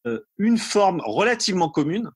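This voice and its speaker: Husky man, dry sound, thinking aloud. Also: high-pitched, animated, moderate pace, dry recording. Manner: hesitant, repeating words, tagging phrases with "hein". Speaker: academic historian, interview guest. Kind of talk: unscripted analytical commentary.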